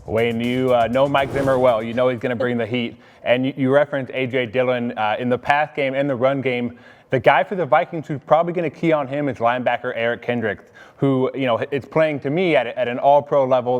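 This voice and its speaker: A man's voice: medium pitch, steady tone, a little fast, lo-fi sound. A man working as a team reporter, giving conversational sports analysis.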